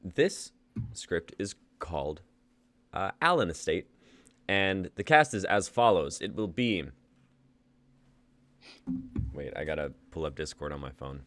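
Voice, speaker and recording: A man's voice: low voice, animated, normal speed, fairly bright tone, no room sound. A young man in his twenties hosting a live script reading, laughing easily and reading the stage directions. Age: 20s. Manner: laid-back, laughing, uses y'all. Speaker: host and playwright.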